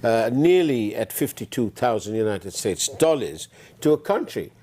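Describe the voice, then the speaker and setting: A man from Sri Lanka hosting a studio interview, speaking haltingly with many fillers and restarts. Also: medium pitch, sing-song, dark tone. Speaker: talk show host.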